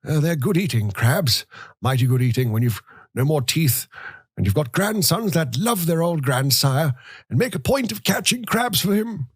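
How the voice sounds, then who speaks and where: A man voicing a frail, querulous old grandfather in a thin, unsteady falsetto for an audiobook. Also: lively intonation, moderate pace, breathy edge, dry recording, clean studio sound. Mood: greedy, doting. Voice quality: thin, cracked, undependable falsetto. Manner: muttering, cackling, nostalgic. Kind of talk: audiobook character voice.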